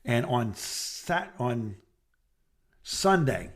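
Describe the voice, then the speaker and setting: A man's voice: medium pitch, big pitch swings, very slow. Middle-aged man, rambling casual storyteller. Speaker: podcast co-host. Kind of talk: casual phone chat, personal anecdotes.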